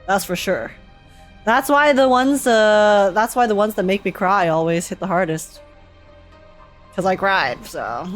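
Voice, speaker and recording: A woman's voice: medium pitch, animated, measured pace. A woman streamer giving casual, giggly, informal live reactions to a game's story. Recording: game music behind the voice.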